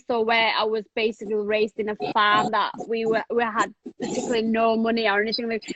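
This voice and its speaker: A woman's voice: fairly high pitch, fairly bright tone, slight background noise, low-quality recording. A woman from Thailand now living in England, chatting casually on a video call with many fillers and the odd swear word. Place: home in England.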